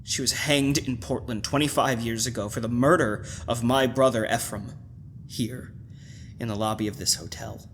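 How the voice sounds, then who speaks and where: A man's voice: medium pitch, steady tone, moderate pace, fairly bright tone, a little hiss or hum, natural voice. Man acting insistent, secretive bridegroom, radio melodrama. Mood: cold, ominous. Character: domineering, secretive bridegroom from Maine, curtly menacing.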